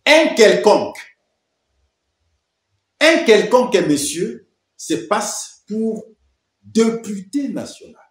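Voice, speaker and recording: A man's voice: a little high, reverberant. A man giving emphatic political commentary, full of rhetorical questions and repeated phrases.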